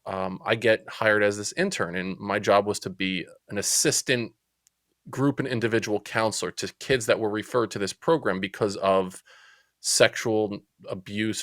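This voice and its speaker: A man in his forties with a New Jersey accent, telling stories as a podcast guest in a chatty, lively way. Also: lowish voice, no room sound, studio quality. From Central New Jersey. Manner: chatty, animated storyteller. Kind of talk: casual storytelling interview.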